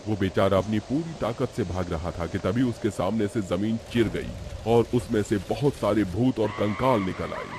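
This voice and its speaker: Low-voiced male horror narrator. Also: normal speed, few pauses, background noise. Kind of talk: dramatic scripted storytelling.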